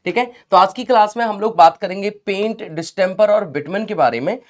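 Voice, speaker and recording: A man's voice: high-pitched, a little fast. Man, sore throat, online tutor, casual, encouraging, mixes English phrases. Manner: chatty and encouraging, mixes English phrases, addresses students as beta. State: sore throat, clearing it often. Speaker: online exam-prep teacher. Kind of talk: live online class, casual Hindi-English teaching.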